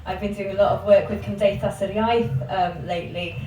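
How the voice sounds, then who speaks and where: A woman's voice: mid-range voice, steady tone, fairly quick, mellow, a little hiss or hum. A woman speaking earnestly on a discussion panel as a housing campaigner. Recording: echoey, far from the mic, with music behind.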